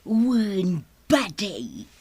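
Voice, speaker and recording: An actress playing a doting, teasing wife in an Ilocano-accented radio comedy drama. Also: female voice, mid-range voice, huge pitch swings, extremely slow, a little mellow, slightly rough, no echo, exaggerated voice. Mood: affectionate.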